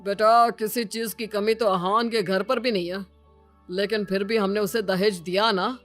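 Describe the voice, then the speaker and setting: A woman's voice: medium pitch, steady tone, measured pace, a bit bright, soft background music, close to an everyday voice. Woman playing a placating middle-aged father-in-law. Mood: reasoning. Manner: polite, placating.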